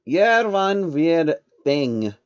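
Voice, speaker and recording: A man's voice: fairly high pitch, big pitch swings, very slow, clear, resonant tone, no echo, muffled recording, pitched above the performer's own voice, heavily acted. A man voicing a male changeling bug creature with an incredulous, disapproving manner. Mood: indignant.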